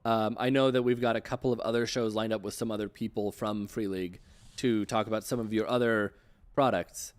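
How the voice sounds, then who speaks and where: Low-voiced man hosting a casual interview. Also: steady tone, a little fast, clean tone. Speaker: show host.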